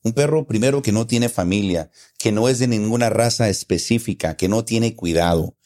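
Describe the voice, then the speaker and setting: A man's voice: lowish voice, moderate pace, darkish tone. A man with a Costa Rican accent, patiently explaining local words in a casual conversation, often repeating his points. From Costa Rica. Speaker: guest explaining local slang.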